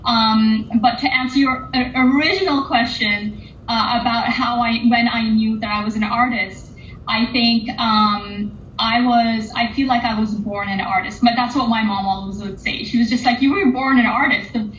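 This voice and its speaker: A woman guest chatting casually in an online artist interview, higher voice echoing round the room over faint noise. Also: fairly even intonation, normal speed, a bit bright. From England and Oman, later the United States. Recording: roomy, dull, lo-fi audio with faint noise.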